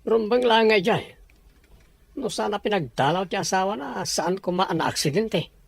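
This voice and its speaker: A woman's voice: mid-range voice, lively intonation, normal speed, creaky, slightly rough, no echo. A woman playing a resentful older woman.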